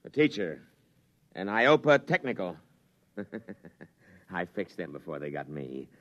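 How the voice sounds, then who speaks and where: Deranged male inmate, slow, menacing, low sharp voice, paranoid, radio play. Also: sing-song, exaggerated voice. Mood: menacing, gloating. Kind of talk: old-time radio drama acting.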